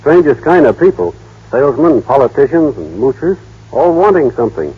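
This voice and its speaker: A man's voice: medium pitch, sing-song, moderate pace, husky. An actor playing a naive, plainspoken small-town young man in an old-time radio drama. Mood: curious, wary. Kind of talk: 1930s-40s radio drama acting. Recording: muffled, band-limited old radio broadcast.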